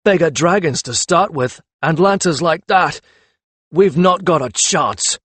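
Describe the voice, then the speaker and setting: A man's voice: fairly high pitch, takes it slowly, a bit bright, dry recording, voice pushed up. A man voicing a battered, bitter warrior in a fantasy audiobook, with slurred, muffled, growling speech. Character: battered, bitter senior dragon-riding warrior, male.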